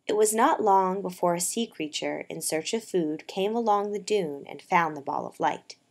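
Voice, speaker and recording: Low-voiced woman telling a story. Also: moderate pace. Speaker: storyteller narrator. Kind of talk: slow poetic storytelling narration for a shadow puppet show.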